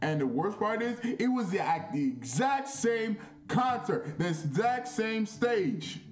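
Young man storytelling, higher-pitched voice, roomy sound. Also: takes it slowly, soft background music. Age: young adult. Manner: animated, slangy, repeats phrases for emphasis. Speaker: vlogger. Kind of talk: casual storytelling to camera. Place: small bedroom, indoors.

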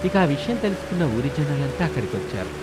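A man's voice: fairly high pitch, very animated, fast, rough recording. A man narrating a scripted moral story as a storyteller. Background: background music.

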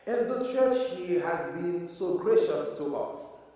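A man with a Haitian accent preaching a sermon as pastor, slow and earnest, with emphatic rises. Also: high-pitched, lively intonation, mellow. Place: church sanctuary. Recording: echoey church hall from far off.

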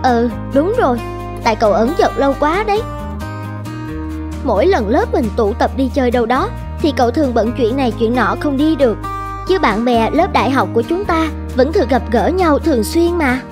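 A woman's voice: high voice, lively intonation, moderate pace, music in the background. A woman voicing a sociable, well-off young friend in a cartoon drama, chatty. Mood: friendly, chatty.

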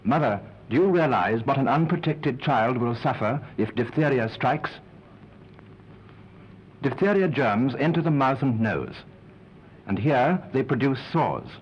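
A British man delivers grave, formal narration in the style of a wartime information film. His voice is husky with lively intonation, and the old recording is dull. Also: mid-range voice, unhurried. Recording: dull 1940s film soundtrack.

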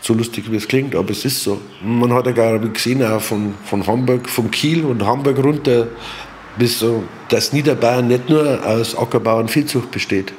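A man's voice: medium pitch, moderate pace, darkish tone, a little hiss or hum. A man speaking spontaneously and emphatically in an interview, often repeating himself. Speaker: local interviewee.

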